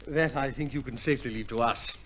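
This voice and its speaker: An actor playing a skeptical, plain-spoken gentleman in a 1950s radio play, with a brisk, incredulous, clipped manner. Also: mid-range voice, lightly acted. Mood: incredulous, dry.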